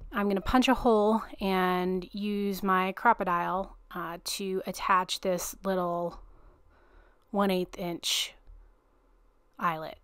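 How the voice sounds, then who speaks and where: A woman hosting a card-making tutorial, talking through each step in a relaxed, chatty way with a self-deprecating touch. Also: mid-range voice, clean tone, no room sound. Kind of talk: step-by-step craft tutorial narration.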